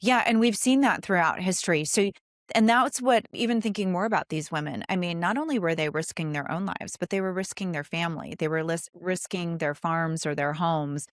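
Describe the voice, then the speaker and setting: A woman's voice: lowish voice, brisk, dry recording, studio quality. A woman hosting a conversational interview, speaking earnestly and affirming her guest. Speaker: podcast host interviewing a guest.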